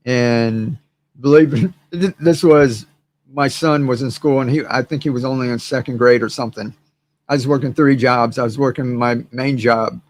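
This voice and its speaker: Hoarse man chatting casually to viewers. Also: medium pitch. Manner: rambling, repeats words, lots of fillers. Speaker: gardening channel host. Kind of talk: casual livestream chat to viewers.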